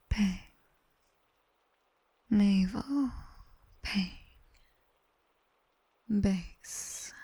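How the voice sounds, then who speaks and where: Woman as a breathy, whispering British demoness tutor. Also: mid-range voice, dark tone, exaggerated voice. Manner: slow, commanding, dryly teasing. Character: sharp-tongued nightmare demoness tutor, female, teasingly strict. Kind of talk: ASMR roleplay, guided body scan.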